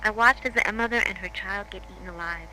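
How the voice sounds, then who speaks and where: A woman's voice: medium pitch, fast, sharp tone, a little hiss or hum, low-quality recording. A woman playing a shaken crash survivor, giving a scripted first-person account step by step in a horror drama.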